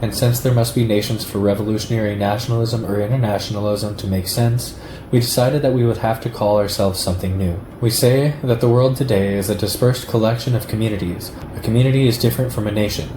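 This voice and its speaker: Guy reading a political essay out loud, measured, roomy echo and noticeable noise behind him. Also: on the low side, fairly even intonation. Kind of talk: read-aloud political text, audiobook-like. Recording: echoey room with clear background noise.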